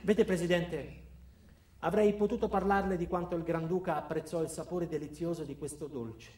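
A man with a slightly high voice performs a theatrical radio monologue in a roomy, echoing space. He plays an ordinary company man turned coldly cruel, addressing his boss with deliberate, deadpan control. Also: a little hiss or hum. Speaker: actor performing a solo monologue.

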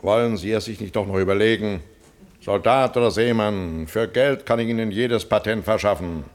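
An actor playing a pompous, grasping lawyer and parliamentarian in a German radio play, self-important and haggling. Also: male voice, lowish voice, normal speed, close to an everyday voice. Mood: dismissive, haggling. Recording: 1970s radio drama with clear background noise.

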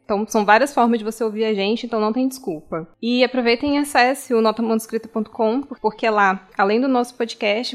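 A woman with a Brazilian accent co-hosting a podcast, speaking in a casual sign-off style. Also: a little high. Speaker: podcast co-host.

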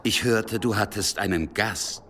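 An actor playing a curt, coarse, domineering leader of medieval riders in a radio drama. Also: male voice, fairly low pitch, unhurried, sharp tone, slight background noise. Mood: cool, domineering. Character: gruff, commanding medieval leader of armed riders, menacing.

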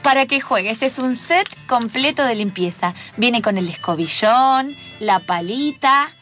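Woman acting strict doting mom, high voice, old-fashioned, radio play with music behind. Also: lively intonation, a little slow. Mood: sugary. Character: strict doting mother with old-fashioned ideas about girls.

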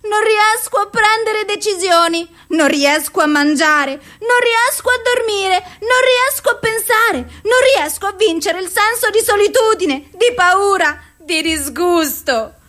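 An actress playing a despairing, suicidal and self-loathing woman in an anguished, deliberate theatrical radio monologue. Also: very high-pitched, animated, bright, forceful tone, full character voice. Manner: slow, deliberate, anguished delivery.

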